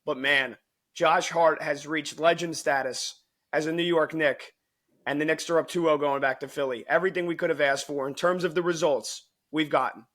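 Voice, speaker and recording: Hoarse, excited male sports co-host. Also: on the high side, normal speed. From United States. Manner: excited, emphatic, restarts often. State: voice worn from shouting. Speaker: podcast co-host. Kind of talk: sports talk podcast.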